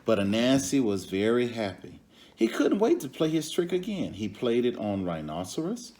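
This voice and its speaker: A man reading a children's story aloud in an expressive way, with asides to his listeners. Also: mid-range voice. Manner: expressive, pauses to question and comment to the young audience. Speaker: storybook reader.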